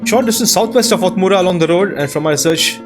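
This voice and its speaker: A man giving casual let's-play commentary in a husky voice, musing aloud and reading names off the screen. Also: high-pitched, fast talker. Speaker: video game player and host. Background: plucked-string game music.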